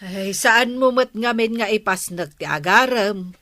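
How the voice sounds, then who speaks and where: A nagging, scolding woman in a radio drama. Her voice is bright and sharp at a medium pitch, recorded close and dry. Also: unhurried, no echo. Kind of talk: Ilocano radio drama acting.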